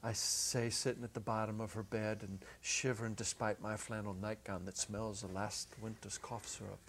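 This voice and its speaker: A middle-aged man giving an expressive, deliberate dramatic reading of a short story, with theatrical pauses. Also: on the low side. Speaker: story reader, teacher. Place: small indoor set. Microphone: lapel microphone. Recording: noisy old videotape recording.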